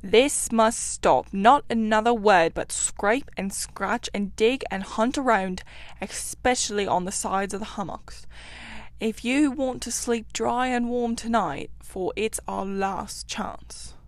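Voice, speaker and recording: A woman voicing a kindly but excitable, easily exasperated male rat in a storybook, brisk, eager and bossy. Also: on the high side, gravelly, dry recording. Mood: angry, urgent.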